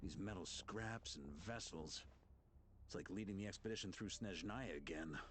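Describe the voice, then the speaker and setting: An actor playing a composed, wry, dutiful expedition leader in a fantasy video game. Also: male voice, low voice, moderate pace, natural voice. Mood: resolute.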